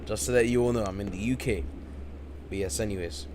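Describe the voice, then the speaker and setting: A man's voice: on the low side, brisk, background noise. A man commentating a live-streamed game event late at night, tired but hyped, repeating himself a lot. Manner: hyped and repetitive, keeps saying "you guys". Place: home, in the UK.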